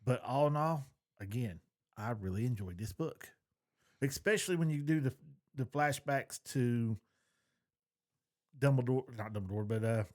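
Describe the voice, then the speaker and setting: A middle-aged man talks casually as a podcast co-host. His pitch is average, and his manner is folksy, plain and opinionated. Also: moderate pace, pauses often, a little mellow. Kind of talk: casual chat.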